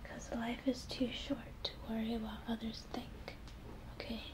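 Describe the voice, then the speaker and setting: A young woman doing an ASMR role play in a soothing, reassuring, breathy whisper, close to the microphone in a room. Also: a little high, little pitch movement, a little slow. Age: young adult. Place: small indoor room.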